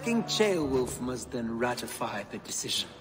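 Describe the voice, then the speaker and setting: An actor as a pompous Saxon bishop, reverberant. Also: male voice, medium pitch, very animated, over music, close to an everyday voice. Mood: formal, persuasive. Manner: formal, measured, pompous. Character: pompous, pious middle-aged Saxon bishop, smoothly political. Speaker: actor in a video game cutscene. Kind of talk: video game drama acting.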